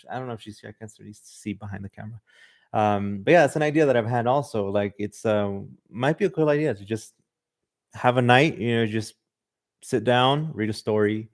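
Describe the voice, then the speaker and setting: A man's voice: medium pitch, a little mellow. A man from Southern California with a slight Mexican accent, a podcast host chatting loosely on a livestream and reading viewer comments, with lots of "like" and "um". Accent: Mexican Spanish influence. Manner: loose and chatty, many "like" and "um" fillers. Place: livestream in front of a camera.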